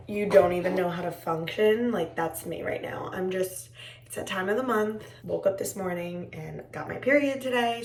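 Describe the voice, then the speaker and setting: A young woman with a raspy, tired voice, chatting casually to her viewers in a home vlog. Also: fairly low pitch, reverberant, recorded from a distance. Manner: chatty, rambling, talks to viewers as friends. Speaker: vlogger. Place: indoors at home.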